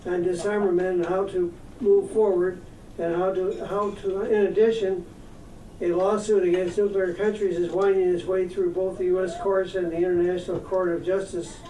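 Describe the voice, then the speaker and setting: A man's voice: high voice, flat delivery, moderate pace, dark tone, slight background noise. A man hosting a meeting, reading a prepared introduction of the speaker and stumbling now and then. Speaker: meeting host. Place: meeting room. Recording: echoey room, far from the mic.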